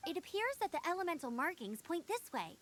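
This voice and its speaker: Very high, spirited young knight scout. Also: female voice, sing-song, brisk, fairly bright tone, slight background noise, heavily acted. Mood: focused. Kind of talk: video game character voice acting.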